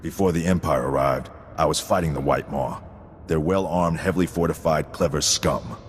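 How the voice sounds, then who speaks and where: A man playing a gruff, stern, disciplined army sergeant in a sci-fi video game, clipped and blunt in his military delivery. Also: rumbling low, normal speed, slight background noise. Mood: matter-of-fact. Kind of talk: scripted video game acting. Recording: long reverb, game music behind.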